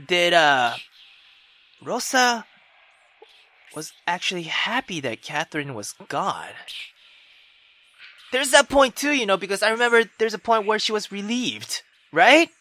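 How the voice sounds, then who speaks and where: A woman gamer comments casually on a visual novel and reads it aloud. She thinks out loud, hesitates and swears. Her pitch is very lively, though her pace is on the slow side. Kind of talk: casual reactive commentary and read-aloud narration.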